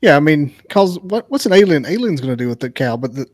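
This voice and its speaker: A man's voice: fairly high pitch, big pitch swings, fast talker, darkish tone, no echo. Wry man with a dry, close sound. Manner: offhand, conspiratorial, wry. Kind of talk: casual chat.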